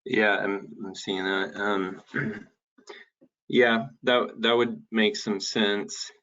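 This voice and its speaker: A man's voice: lowish voice, flat delivery, drawn-out words, a bit bright, highs cut off. A man talking through details as a city planner in an informal meeting, hesitant and thinking aloud. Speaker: city planner presenting a code draft.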